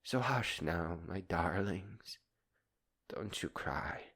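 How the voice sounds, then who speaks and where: Slow, theatrical man delivering dramatic oratory. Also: low voice, animated, a bit bright, breathy edge. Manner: theatrical, solemn, grandiloquent. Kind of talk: dramatized poetic monologue and fiery oratory.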